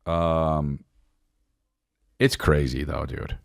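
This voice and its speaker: A man's voice: very deep voice, steady tone, extremely slow, vocal fry, no echo, clean studio sound. A man hosting a comedy podcast, rambling casually in slang and profanity, scattered and often amused by his own jokes.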